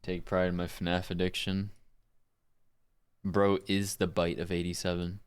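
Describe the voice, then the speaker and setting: A man's voice: low-pitched, level tone, a little slow, clear, resonant tone. A man streaming casually, talking offhand and jokingly, partly muttering to himself as he works. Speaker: streamer and voice actor. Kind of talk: casual livestream chat.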